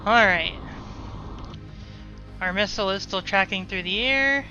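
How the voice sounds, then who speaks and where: A woman's voice: medium pitch, a little slow, bright, forceful tone. A woman giving live gameplay commentary, explaining each command and move as it happens. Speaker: gaming video host. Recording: muffled narrow band, music behind. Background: game music and engine sounds.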